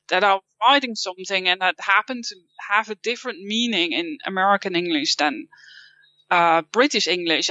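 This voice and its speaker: Guest interview: woman, Dutch accent, bright and clear, dry close sound, slightly dull lo-fi audio. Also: on the low side, no room sound. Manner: thoughtful, with frequent restarts and light laughs. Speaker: guest, copywriting teacher. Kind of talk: casual interview chat.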